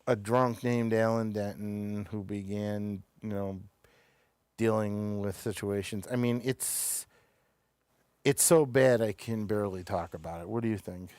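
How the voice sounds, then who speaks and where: A man hosting a show, chatting casually through reviews in a halting, stop-and-start way. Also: fairly low pitch, steady tone, darkish tone, clean tone, dry recording. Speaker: show host reviewing television episodes.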